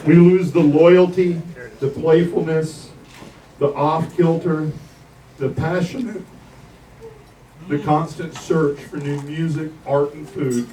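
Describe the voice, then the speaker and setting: A man giving a eulogy at a memorial gathering in a deliberate, declarative way, with dramatic pauses between phrases. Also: fairly high pitch, fairly even intonation, mellow. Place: bar venue, captured from the audience. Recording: distant mic in a noisy bar.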